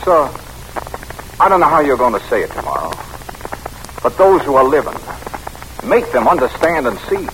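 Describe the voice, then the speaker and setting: A man playing an earnest, battle-scarred army captain, respectful and halting, in 1940s radio drama style. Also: mid-range voice, sing-song, fairly bright tone. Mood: urgent pleading. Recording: faintly noisy old radio broadcast.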